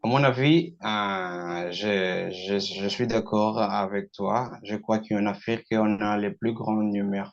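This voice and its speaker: A man from Angola whose first language is Portuguese, speaking French in a halting way with frequent laughs in a casual practice chat. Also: lowish voice, steady tone, dull audio. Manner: slow, halting, laughs often. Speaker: participant.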